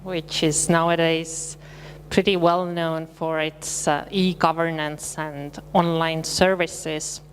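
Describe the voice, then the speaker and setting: A woman from Estonia with an Estonian accent, giving a conference presentation in a measured, slightly hesitant way. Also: sits low, flat delivery. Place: conference hall. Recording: reverberant hall with faint hum.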